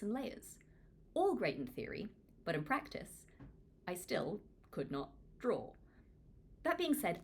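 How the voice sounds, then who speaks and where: A woman from Hong Kong reading a book aloud in an expressive, informal, self-deprecating way, like a read-along. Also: deep voice, slight background noise. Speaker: author reading their own book aloud. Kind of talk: audiobook read-along with casual asides.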